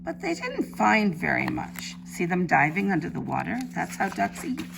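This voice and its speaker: Low, creaky-voiced woman reading to kids. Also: huge pitch swings. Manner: simple, deliberate phrasing addressed to children. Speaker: preschool teacher. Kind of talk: read-aloud storytelling for young children. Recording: faint background noise.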